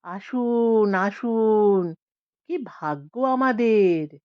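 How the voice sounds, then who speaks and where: A woman voicing an unseen, very polite forest spirit with a soft, silky, courteous manner in an audio story. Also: medium pitch, drawn-out words, clear, resonant tone, no echo, lo-fi sound. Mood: silky welcoming.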